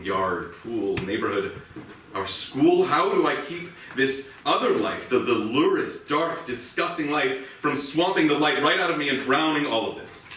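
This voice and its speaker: An actor playing a haunted, guilt-ridden policeman and family man in a brooding, halting, intense stage monologue. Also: on the low side, fairly bright tone. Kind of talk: dramatic theatrical monologue. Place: indoor theatre stage. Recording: echoey theatre, distant mic, faint noise.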